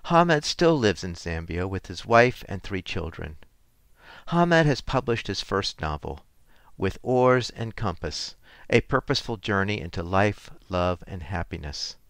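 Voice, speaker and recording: A man hosts a community radio interview program in a measured, read-aloud way. His voice sits a little low, and the studio sound is close and dry with no room echo. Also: sing-song, fairly bright tone. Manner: measured, scripted introduction. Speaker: radio show host.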